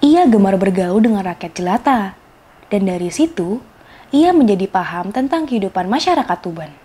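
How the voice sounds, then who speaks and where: A woman's voice: mid-range voice, animated, normal speed, warm tone, breathy edge. A woman telling a scripted children's story, expressively addressing her young listeners. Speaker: storyteller, host of a children's folktale show. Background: faint music.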